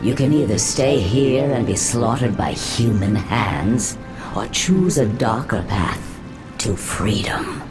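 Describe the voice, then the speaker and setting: A woman playing a sly, commanding serpent sorceress in a fantasy game, measured, seductive and persuasive. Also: extremely low pitch, expressive, heavily acted. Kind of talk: dramatic fantasy game voice acting. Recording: background music under the voice.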